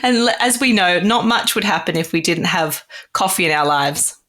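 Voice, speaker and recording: A New woman hosting a podcast interview, friendly and quick to laugh. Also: on the low side, animated. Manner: warm, laughs easily. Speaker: podcast host.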